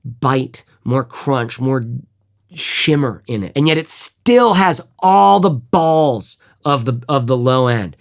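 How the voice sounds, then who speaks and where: A man's voice: animated, slow. A man reviewing headphones in a loose, rambling chat. He swears freely, restarts his sentences and hums out bits of music to make his point. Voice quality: fairly high voice. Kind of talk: casual gear review chat.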